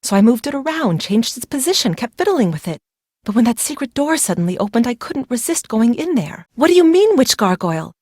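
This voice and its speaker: A woman's voice: on the high side, very animated, fairly quick, darkish tone, no room sound, studio quality. A young woman playing a secretive, fearful young bride in a video game, speaking in a weary, brooding, dramatic way. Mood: agitated, fearful.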